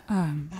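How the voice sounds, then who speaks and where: Tender, haunted female call-in host for an audio drama: lowish voice, deliberate pauses, intimate dry sound. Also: bright, forceful tone, slightly rough, dry recording, heavily acted. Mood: haunted, hesitant. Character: haunted, tender woman radio advice host. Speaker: radio advice show host. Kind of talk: audio drama as late-night call-in radio.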